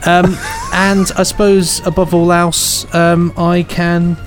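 A man's voice: high-pitched, flat delivery, slow pace. A British man co-hosting a podcast in casual, jokey, rambling banter. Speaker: podcast co-host. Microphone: headphones on, podcast microphone. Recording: music bed under the voice.

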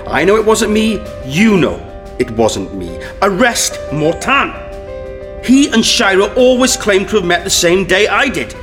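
Accused space crewman, high voice, very animated pitch, urgent, earnest, sci-fi audio drama, music behind. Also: a little slow. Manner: urgent, explanatory. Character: earnest accused crewman pleading his innocence aboard a spaceship.

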